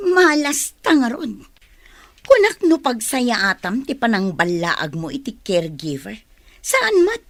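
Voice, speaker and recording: A woman's voice: on the high side, huge pitch swings, unhurried, a bit bright, dry recording, heavily acted. An actress playing a greedy, scheming woman in a radio drama, pushy and insistent. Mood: demanding.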